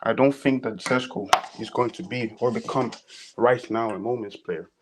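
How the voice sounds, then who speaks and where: A man's voice: mid-range voice, moderate pace. A man from Sweden with a Swedish accent, calling in to a podcast and giving his view hesitantly. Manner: hesitant, many fillers and restarts. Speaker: caller. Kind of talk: casual call-in opinion. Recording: faint background noise on call.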